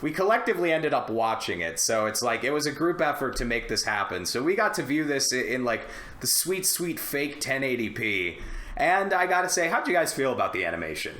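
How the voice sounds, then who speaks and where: A man's voice: medium pitch, very animated, brisk, fairly bright tone. A man chatting casually and comedically about movies as a podcast host, with an irreverent, profane manner. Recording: roomy echo.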